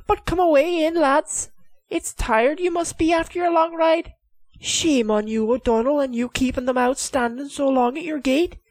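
A man voicing a spirited, sharp-tongued noble lady. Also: sits high, moderate pace, no room sound, pitched above the performer's own voice, close to an everyday voice. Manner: bold, teasing, commanding.

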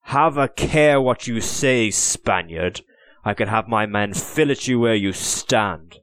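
A man reading an audiobook, voicing a hot-tempered English soldier with a slow, menacing threat. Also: lowish voice, animated, a bit bright, smooth, no room sound.

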